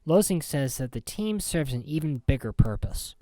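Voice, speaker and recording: A man's voice: fairly high pitch, expressive, moderate pace, warm tone. Guy doing sports reporting and commentary, punchy announcer voice.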